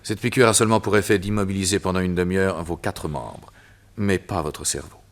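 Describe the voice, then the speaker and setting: A man's voice: deep voice, steady tone, a little fast. An actor playing a cold, vengeful doctor who mocks the detective he has trapped, in a French radio drama. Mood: menacing.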